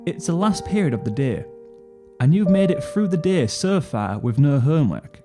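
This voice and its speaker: A man reading a second-person story as a narrator, expressive, with a weary, brooding delivery. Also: on the high side, moderate pace. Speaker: fan fiction narrator. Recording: piano music under the voice. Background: piano music.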